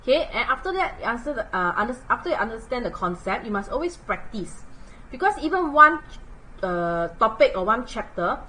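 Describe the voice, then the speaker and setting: A Singaporean woman walks students through math problems in a chatty live lesson, her English sprinkled with local particles. Her pitch swings widely as she talks, and her tone is bright and forceful. A faint hiss of noise sits behind her voice. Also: a little fast, low-quality recording. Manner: chatty, animated, uses local particles like lah and leh. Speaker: math tutor.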